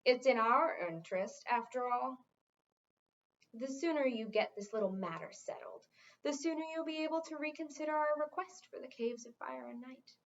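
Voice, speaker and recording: A woman's voice: high-pitched, moderate pace. A woman voicing a smugly courteous male wizard in a storybook, speaking with formal, excessive politeness. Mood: smooth, insistent. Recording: dull, lo-fi sound.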